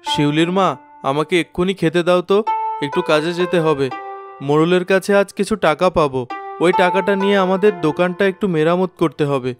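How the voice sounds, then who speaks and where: A man's voice: high voice, brisk, lightly acted. A man voicing an earnest, worried poor village shopkeeper and father in a cartoon moral story. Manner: earnest, pleading, polite. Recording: music behind the voice.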